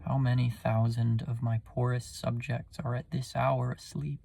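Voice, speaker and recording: A man's voice: medium pitch, level tone, moderate pace, vocal fry, warm tone. A man acting a weary, sleepless king, pleading theatrically in a Shakespearean soliloquy. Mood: weary longing. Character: weary, sleepless, troubled old-world king.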